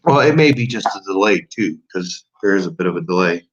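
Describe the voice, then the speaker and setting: A man's voice: deep voice, animated, fairly quick. Casual man on a lo-fi livestream. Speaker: livestream co-host. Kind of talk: casual chat. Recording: lo-fi livestream audio.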